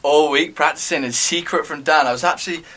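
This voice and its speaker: A man's voice: a little high, fairly quick, pushed and bright. A man co-hosting a climbing podcast, chatting playfully and laughing often. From New Zealand. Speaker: podcast co-host. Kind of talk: casual chat.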